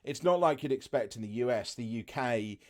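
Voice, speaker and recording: Chatty man, naturally loud voice. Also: mid-range voice, expressive, normal speed. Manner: chatty, loose, full of fillers and asides. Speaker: travel vlogger. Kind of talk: casual talk to camera.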